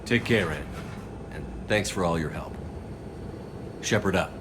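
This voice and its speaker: An actor playing a steady, resolute male commander with a low, understated delivery. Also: a little slow. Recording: game audio with clear background noise.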